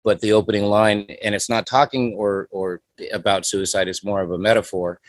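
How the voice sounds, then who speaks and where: Casting: man in his forties, lowish voice, loose rambling interview talk, dry close mic. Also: flat delivery, brisk, no room sound. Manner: rambling, many "you know" fillers. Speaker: guest musician. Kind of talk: casual interview chat.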